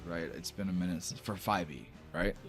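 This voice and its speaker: A man's voice: sits low, fairly quick, soft background music. A man running a tabletop fantasy game, mixing dramatic storytelling with casual, sweary table talk. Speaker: game master.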